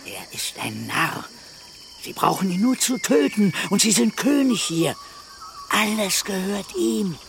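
A man's voice: sits high, big pitch swings, measured pace, vocal fry, a bit bright, a little hiss or hum, full character voice. An actor playing a sly, servile, spiteful old man in a radio play, wheedling and insinuating. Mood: scornful, conniving.

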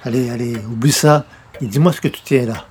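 A man's voice: medium pitch, brisk, darkish tone, husky. A man playing a genial, bumbling newspaper columnist in a radio comedy, hesitant and coaxing. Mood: wry. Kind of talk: old-time radio comedy acting.

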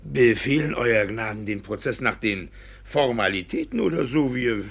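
A shifty, gravel-throated village judge. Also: male voice, medium pitch, very animated, normal speed, a bit bright, exaggerated voice. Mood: flustered, obsequious. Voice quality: husky, gravelly. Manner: stammering, evasive. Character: shifty, flustered, scheming older male village judge. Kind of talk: 1950s German radio drama acting. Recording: 1950s radio recording.

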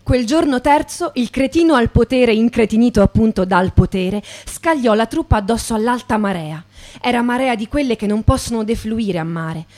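A woman's voice: on the high side, moderate pace, a little mellow. A woman performer giving a dramatic literary reading in the style of radio theatre. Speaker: stage performer. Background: music.

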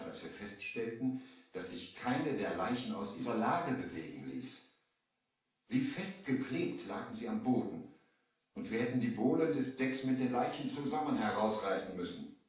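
A man's voice: on the low side, lightly acted. A male actor playing a shaken merchant who dramatically narrates an eerie sea tale in a 1970s children's adventure radio play. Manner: dramatic, storytelling. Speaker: narrator and lead in an audio drama. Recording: echoey, distant 1970s vinyl record.

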